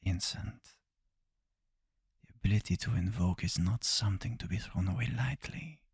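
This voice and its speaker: A man playing an older, fatherly vampire maker in an audio roleplay, speaking in a grave, quiet and measured way. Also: low-pitched, vocal fry, breathy edge, no room sound, studio quality, heavily acted. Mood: grave, reluctant.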